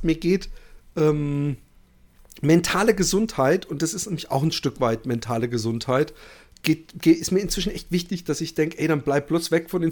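A man with a voice on the higher side chats casually as a podcast host. He makes his points with emphasis and strong opinions, in loose everyday language with the odd swear word.

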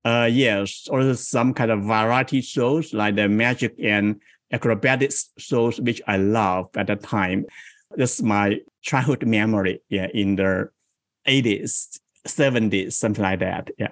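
A man in his forties from South China with a Chinese accent, a guest in an interview who tells stories in a slow, reflective way. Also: fairly low pitch, no room sound. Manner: slow, thoughtful, reflective storytelling. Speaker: guest, improv coach. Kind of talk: interview conversation.

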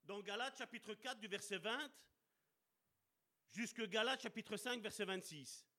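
A man preaching a sermon with passion, slowing down to stress key words and calling out to his brothers and sisters. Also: high voice, sharp tone. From Italian heritage. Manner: impassioned, addresses congregation as brother and sister.

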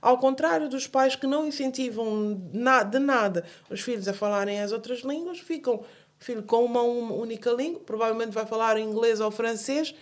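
A woman's voice: on the high side, normal speed. A woman, answering an interview in an earnest way, often restarting and repeating words. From Portugal. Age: 40s. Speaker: guest. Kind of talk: interview conversation.

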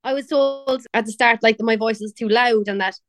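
A woman's voice: a little high, fairly quick, fairly bright tone. A young woman from Cork with a Cork Irish accent, chatting casually as an interview guest, laughing and quoting others. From Ireland. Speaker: pop singer, guest.

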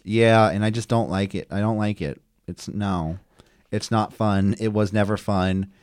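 A man with a lowish voice talks through a match recap in a relaxed, chatty way, leaning on his notes and filling pauses with ums and uhs. The sound is close and dry, with no room around it. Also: clear, resonant tone, no room sound. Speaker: podcast co-host recapping wrestling. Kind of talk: casual chat, play-by-play recap.

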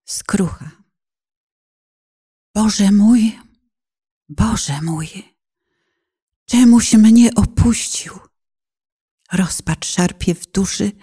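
A woman narrator giving a solemn, fervent poetic religious recitation, with dramatic phrasing and a breathy voice that sometimes hushes. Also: medium pitch. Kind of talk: poetic religious recitation, radio-play meditation.

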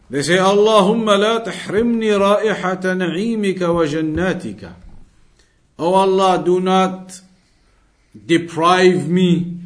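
Male religious teacher, fairly high pitch, deliberate explanatory delivery, repeats points, reads and translates scripture. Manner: deliberate, repetitive explaining, switching between recited Arabic and English. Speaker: Islamic studies teacher reading and explaining a text. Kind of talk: religious lesson, reading Arabic then translating and explaining in English.

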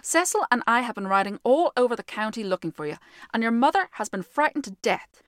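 Woman acting a stern, forceful scolding father. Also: fairly high pitch, very animated, brisk, no echo, studio quality. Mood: angry relief. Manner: forceful, exclaiming. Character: stern, anxious father scolding his daughter.

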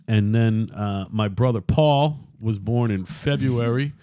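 A man's voice: on the low side, takes it slowly, mellow, dry recording, studio quality. A man in his fifties hosting a podcast, chatting casually, rambling and swearing freely. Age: 50s. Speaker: podcast host. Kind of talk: casual chat.

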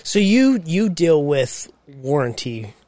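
Blunt, animated podcast host. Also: medium pitch, slow. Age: 30s or older. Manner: blunt, teasing, swears freely. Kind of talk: casual banter and questioning.

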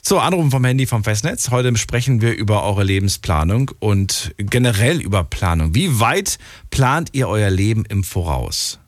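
A man hosts a late-night call-in radio show from a studio. He questions his callers calmly and with curiosity. His voice is heard up close and dry. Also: on the low side, moderate pace, no room sound. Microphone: studio microphone.

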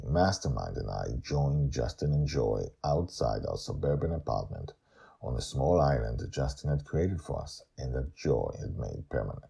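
A very low-voiced man tells a serial story in an emphatic, deliberate way. His voice is gravelly and husky, and it swings freely up and down as he narrates. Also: warm tone.

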